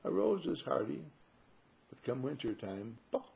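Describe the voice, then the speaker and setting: A man speaking as a spiritual teacher in a husky, gravelly voice, posing rhetorical questions and repeating phrases for emphasis. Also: medium pitch, very animated, measured pace, dark tone. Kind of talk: spiritual talk with parables.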